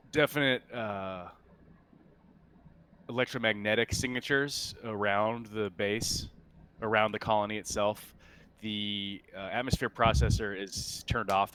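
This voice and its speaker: A man acting as game master, describing scenes in a halting, improvised way during a tabletop roleplaying game. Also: on the low side, a bit bright, clean tone.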